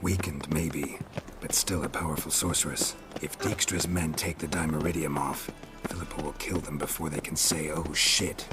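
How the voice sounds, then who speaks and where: A man playing a gruff, stoic monster hunter in a video game, terse and dry-witted with a gravelly, deadpan delivery. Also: extremely low pitch, normal speed. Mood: dry, measured. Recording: game music behind the voice.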